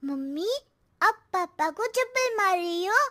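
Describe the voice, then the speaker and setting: A woman voicing a lazy, cheeky schoolboy who talks back in a drawling, smart-alecky way, in an animated story. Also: very high voice, a little slow, pitched above the performer's own voice, full character voice.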